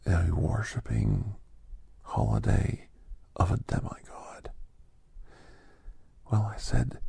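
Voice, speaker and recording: A man voicing a kindly, reflective older doctor in soft, half-whispered ASMR storytelling, with breathy, halting short phrases. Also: fairly low pitch, dry recording, exaggerated voice. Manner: unhurried, pausing between short phrases. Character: older doctor recounting his gentle alien encounters, reflective, kindly.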